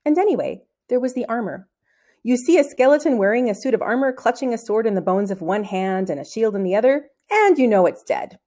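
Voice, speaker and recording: A woman reading a children's novel aloud as an expressive storybook narrator. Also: a little high, fairly quick. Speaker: storybook reader.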